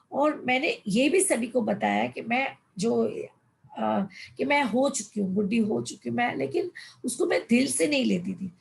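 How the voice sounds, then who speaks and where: A woman's voice: fairly high pitch, vocal fry. A woman in her fifties, a life coach, leading a motivational online session. She reads passages aloud and then explains them, often calling her listeners friends and mixing in English words. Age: 50s.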